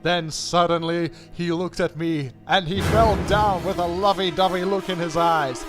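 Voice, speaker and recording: A man giving a theatrical, deliberate dramatic reading of fan fiction. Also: high-pitched, fairly even intonation. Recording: music playing behind the voice. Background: background music.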